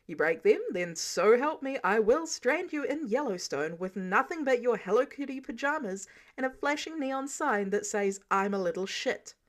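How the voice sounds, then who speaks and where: A woman's voice: on the high side, expressive, normal speed, few pauses, lightly acted. A woman voicing a stern, protective man who lays down rules in a sarcastic, bossy way for a story reading. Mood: stern, exasperated. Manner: sarcastic, bossy, clipped commands. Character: stern, exasperated, protective adult man, mentor laying down rules.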